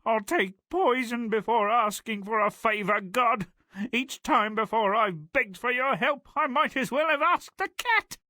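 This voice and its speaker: A man's voice: extremely high pitch, unhurried, sharp tone, dry recording, full character voice. A man playing a brash, hapless poor father in a fairy-tale video game, whining and theatrical. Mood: indignant.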